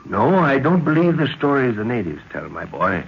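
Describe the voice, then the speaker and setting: A man's voice: mid-range voice, big pitch swings, normal speed, vocal fry, a little hiss or hum. An actor playing an older professor and explorer, fatherly and earnest, speaking formally in an old-time radio drama. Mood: fatherly, intent. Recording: dull vintage radio recording.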